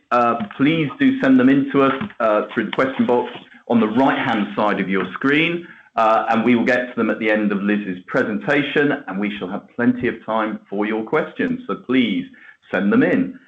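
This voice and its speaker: A man's voice: mid-range voice, moderate pace, a little hiss or hum. A man hosting a webinar, polite and with many fillers. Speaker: webinar host. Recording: dull, roomy audio.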